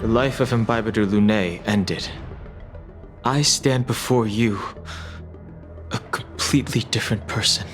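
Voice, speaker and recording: An actor playing a reserved, guarded exile in a video game, speaking in a terse, serious way. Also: male voice, on the low side, a little slow, soft background music, lightly acted. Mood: firm, guarded. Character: reserved, guarded reborn male dragon exile. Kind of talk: video game voice acting, scripted dramatic dialogue.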